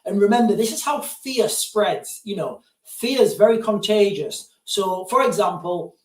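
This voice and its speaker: Rambling high-voiced man live-streaming. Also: unhurried. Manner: digressive, keeps addressing viewers as guys. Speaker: live-stream host answering viewer questions. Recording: roomy sound, distant from mic.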